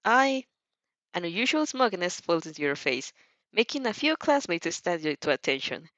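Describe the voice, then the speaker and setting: Female fan fiction narrator, expressive pitch, slightly stumbling phrasing, muffled recording. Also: normal speed, no room sound. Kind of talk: animated second-person story narration.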